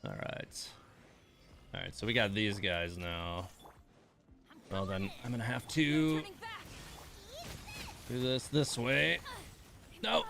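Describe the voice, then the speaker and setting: A man's voice: on the low side, fairly even intonation, takes it slowly, vocal fry, long pauses, bright, forceful tone, faint music behind. A man giving casual livestream gaming commentary, musing as he talks his choices through to himself. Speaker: livestream gamer, host. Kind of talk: casual gameplay livestream commentary, thinking aloud.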